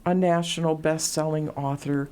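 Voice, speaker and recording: A middle-aged woman, a radio host talking as a guest and sharing stories in relaxed conversation, with fillers. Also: sits low, normal speed, clear, resonant tone. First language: Latvian. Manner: warm storytelling, reflective, frequent fillers. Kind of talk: casual interview chat.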